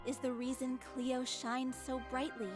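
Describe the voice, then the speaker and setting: A woman's voice: high voice, flat delivery, measured pace. A woman voicing a polite, earnest theatre company member in an anime-style game. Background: prominent background music.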